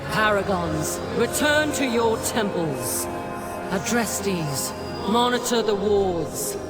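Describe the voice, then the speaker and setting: Loud music surrounds a stately celestial ruler. She speaks slowly and imperiously, with stern composure, like the sovereign of a fantasy game realm. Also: mid-range voice, lively intonation. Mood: stately, commanding. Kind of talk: fantasy video game cinematic acting.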